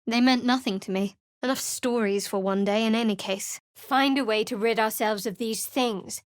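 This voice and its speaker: An actress playing a guarded, sardonic young woman adventurer in a fantasy video game, speaking in a measured, dry, clipped way. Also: on the high side, no echo, lightly acted.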